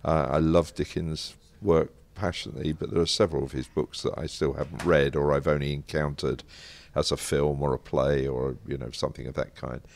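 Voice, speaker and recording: Very deep, creaky older British man. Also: little pitch movement, a little fast, few pauses. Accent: British English. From Britain. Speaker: interview guest, writer. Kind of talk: conversational interview, anecdotal storytelling.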